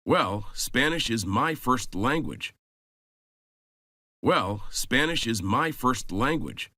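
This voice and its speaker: A man playing a helpful, friendly classmate in a slow scripted dialogue for English learners, saying each line twice. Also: mid-range voice, animated, pushed and bright, no echo, studio quality, exaggerated voice.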